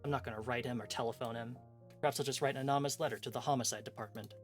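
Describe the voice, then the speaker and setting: A man reading aloud the part of a brooding, frustrated professor in a visual novel mystery, in measured, formal wording. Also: mid-range voice, steady tone, lightly acted. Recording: music behind the voice.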